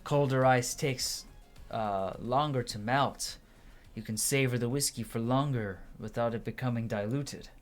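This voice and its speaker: A suave, courtly bartender from a video game, put on by an amateur player, savors each phrase theatrically and leans on genteel tag questions. The mid-pitched voice sits over soft music. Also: a little slow, lightly acted. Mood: suave, savoring. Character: suave, courtly bartender, devoted craftsman and romantic. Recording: music behind the voice.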